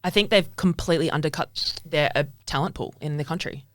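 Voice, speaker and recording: Casting: Australian woman, 30, rapid casual delivery, candid athlete in conversation, very dry sound. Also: deep voice, no echo. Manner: frank, informal, peppered with quoted asides. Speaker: elite triathlete, podcast guest.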